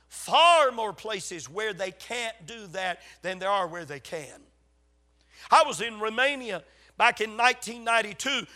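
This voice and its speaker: An older man with a Southern US accent preaching in a folksy, emphatic storytelling way, his voice slightly raspy from a lingering cold. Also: high voice, bright, forceful tone. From South Carolina, USA. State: getting over a cold. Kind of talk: sermon to a congregation.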